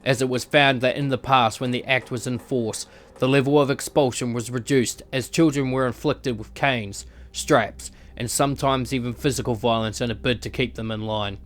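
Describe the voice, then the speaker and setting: A man's voice: medium pitch, steady tone, normal speed, a bit bright. A man reading scripted narration as the announcer and narrator of a visual novel.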